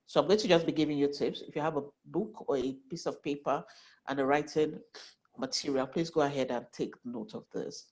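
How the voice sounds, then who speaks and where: A woman in her forties speaks in a low register, her voice nasal from a heavy cold. She teaches like a financial planner, earnest, often repeating and restarting words as she explains. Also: a little fast, darkish tone, low-quality recording. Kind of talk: online class, giving tips.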